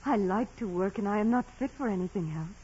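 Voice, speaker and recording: A meek, devout young peasant woman with a very breathy, veiled voice at a medium pitch. She is earnest and deferential, in the style of a 1940s radio play. The recording is dull and old. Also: quick pace, mellow. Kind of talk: 1940s radio drama acting.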